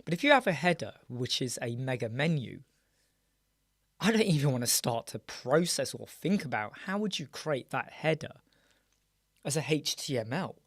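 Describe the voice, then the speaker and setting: A hoarse, croaky British man hosting a casual live chat, greeting viewers by name and trailing off into restarts. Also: medium pitch, very animated, moderate pace, no echo, studio quality. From United Kingdom. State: hoarse, croaky, clearing his throat. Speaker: live chat show host. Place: small dark room with soundproof panels.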